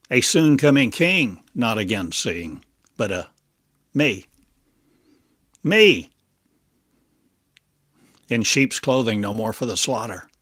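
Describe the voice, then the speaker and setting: A man delivering a channeled prophetic monologue in halting, fragmented, oracular phrases full of coined words. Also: mid-range voice, fairly bright tone, breathy edge, dry recording, studio quality.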